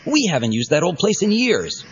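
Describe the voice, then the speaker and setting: A man's voice: sits high, very animated, moderate pace. A man playing a breezy, cocky young superhero in an audio drama, casual and chatty. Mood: breezy, confiding. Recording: dull cassette with faint background noise.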